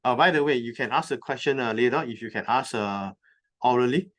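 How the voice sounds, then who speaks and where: A man giving a conference presentation, explaining terms step by step and pausing often on filler sounds. He has just moved to Hong Kong from North America. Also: medium pitch. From recently moved from North America. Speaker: conference speaker. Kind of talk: presentation talk. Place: conference venue.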